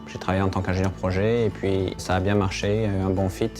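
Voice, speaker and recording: Low-voiced father, music behind. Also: fast. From France. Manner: relaxed, hesitant with fillers, animated when quoting. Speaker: immigrant interviewee. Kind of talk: testimonial interview.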